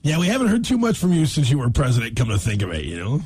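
A man's voice: mid-range voice, huge pitch swings, fast, a little mellow, slightly rough. A man hosting a comedy radio show in a casual, informal bantering style. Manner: informal, often calls people "man".

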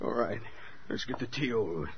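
A man's voice: fairly low pitch, expressive, brisk, gravelly. An actor playing a deferential, troubled, superstitious handyman in a 1940s radio thriller, intense and plaintive. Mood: bitter, weary. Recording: muffled, noisy 1940s radio broadcast.

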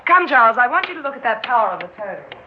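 A woman's voice: on the high side, very animated, racing. An actress playing a cautious, secretive, coy woman in an illicit romance in a 1960s radio drama. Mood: hushed, wary. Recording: muffled, very noisy 1960s radio recording.

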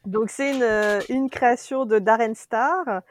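A French woman co-hosting a casual podcast, chatty and animated, laughing often as she reviews a series. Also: fairly high pitch, a little slow, slight background noise. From France.

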